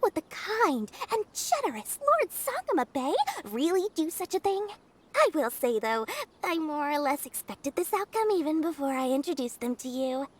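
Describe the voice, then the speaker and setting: A woman's voice: high-pitched, very animated, normal speed. A woman voicing a shrewd, smug, childlike girl merchant in a video game, theatrical, haughty and teasing. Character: shrewd, smug young female merchant, childlike and money-minded. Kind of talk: video game character acting.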